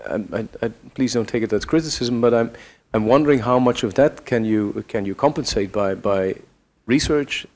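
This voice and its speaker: A man's voice: mid-range voice, normal speed, few pauses, a little mellow. A man from Belgium whose first language is Dutch, asking a question at a conference, hesitant and repeating words. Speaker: audience member.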